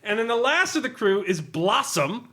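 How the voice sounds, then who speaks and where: A man's voice: high voice, big pitch swings, moderate pace, pushed and bright. A man hosting a podcast in a humorous, sardonic review style, reading aloud and commenting with amusement. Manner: amused, sardonic, often laughing while talking. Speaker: podcast host reviewing a tabletop game.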